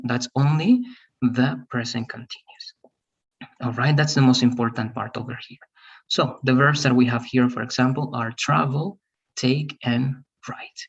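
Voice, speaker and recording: A man's voice: mid-range voice, creaky, breathy edge, highs cut off. A man teaching English grammar online, patiently checking understanding as he goes. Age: 30s. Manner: patient, explains step by step, many "all right?" checks.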